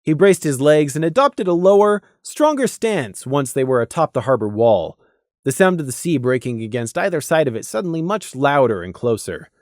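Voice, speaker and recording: A man's voice: fairly high pitch, big pitch swings, moderate pace, dry recording, clean studio sound. A man reading scripted narration of a fantasy story as an audiobook narrator.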